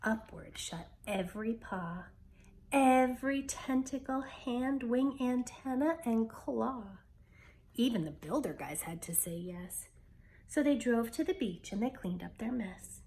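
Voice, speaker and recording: A woman reading a children's picture book aloud with expressive, dramatic storytelling delivery. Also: on the high side, measured pace, a little hiss or hum.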